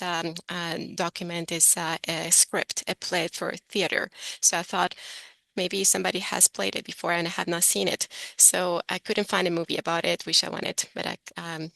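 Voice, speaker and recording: A woman's voice: lowish voice, creaky, sharp tone, no room sound. A woman giving a careful, explanatory online presentation with slides. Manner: careful, explanatory, self-correcting.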